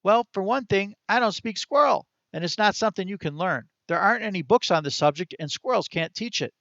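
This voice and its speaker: A man voicing a clever, touchy male talking squirrel who talks quickly and complains with indignation. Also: high voice, animated, a bit bright, no room sound, dull audio, pitched above the performer's own voice. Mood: self-pitying.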